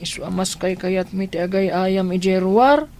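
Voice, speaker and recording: A woman's voice: mid-range voice, normal speed, darkish tone, a little hiss or hum, natural voice. A woman with an Ilocano accent playing an innocent young child in a radio drama, with simple, pleading speech. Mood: innocent, complaining.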